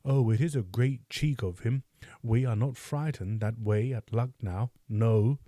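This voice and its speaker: A man voicing a proud, quick-tempered teenage boy in an audiobook, speaking in indignant, boastful outbursts. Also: mid-range voice, dark tone, no echo, natural voice. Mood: angry. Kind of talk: audiobook character voice.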